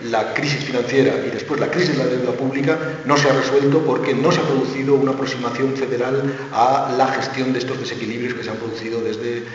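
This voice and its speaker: Panelist, man, halting delivery with fillers, dull sound and faint noise. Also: medium pitch, steady tone. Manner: hesitant, many fillers. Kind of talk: round-table debate. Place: theatre school hall. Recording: very echoey hall, distant, noisy.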